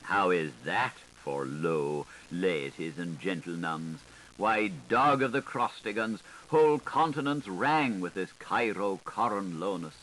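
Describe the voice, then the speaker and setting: A man's voice: low-pitched, slow, poor audio. A man reading literary prose as an audiobook narrator, with a theatrical, varied, lilting delivery. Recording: hissy 1980s tape recording. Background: steady tape hiss.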